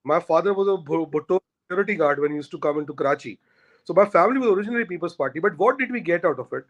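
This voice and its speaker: A man's voice: on the high side, expressive, very fast, lo-fi sound. A man, an Urdu speaker, arguing his points emphatically in a conversational discussion. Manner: emphatic, argumentative, repeats points. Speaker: guest.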